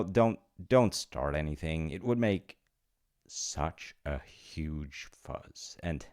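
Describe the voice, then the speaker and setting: A man performs a chummy supernatural clerk of the afterlife for a comedic audio roleplay. The voice is low and creaky, swinging freely in pitch. He pitches deals in loose, hesitant, informal patter and bends rules like an old pal. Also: darkish tone, full character voice. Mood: wry, reluctant. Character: chummy, rule-bending afterlife reincarnation agent, male supernatural being.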